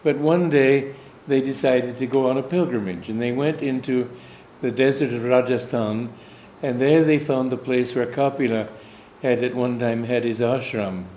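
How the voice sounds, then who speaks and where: A man's voice: mid-range voice, normal speed, hardly any pauses, a little mellow, a little hiss or hum. An American man, a swami and spiritual teacher, recounting a personal anecdote in a meandering storytelling way. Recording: echoey room, faint ambience.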